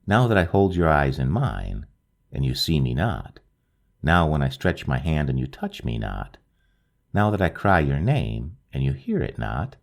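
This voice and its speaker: A man reading a literary ghost story aloud, slow and hushed, lingering over each phrase. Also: creaky. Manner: slow, hushed and lingering, with wide swings of pitch. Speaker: audiobook narrator.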